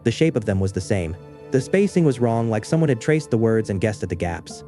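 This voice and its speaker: Male narrator, lowish pitch, dark soft tone, measured horror storytelling, literary and understated, faint music bed. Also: big pitch swings. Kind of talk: scripted first-person horror story narration.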